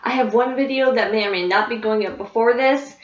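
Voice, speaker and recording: A woman with a somewhat high voice, a little sniffly and congested, chats through her favorite products in an easy, rambling way, full of fillers and restarts. The room is echoey and the recording sounds dull and lo-fi. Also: a little fast. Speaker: beauty vlogger. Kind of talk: casual product review chat.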